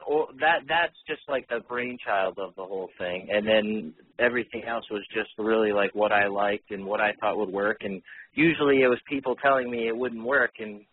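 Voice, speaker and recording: Man, rough husky voice, informal radio guest, blunt casual talk, tinny narrow-band connection. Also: lowish voice, normal speed. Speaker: interview guest, radio show host. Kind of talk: casual interview chat. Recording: tinny phone-like line.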